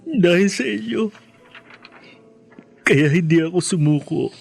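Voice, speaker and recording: A man's voice: sits high, lively intonation, slow pace, gravelly, a little mellow, breathy edge, soft background music, exaggerated voice. A man playing a remorseful, grateful grown son with a Filipino accent in an emotional radio drama. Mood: grateful, earnest.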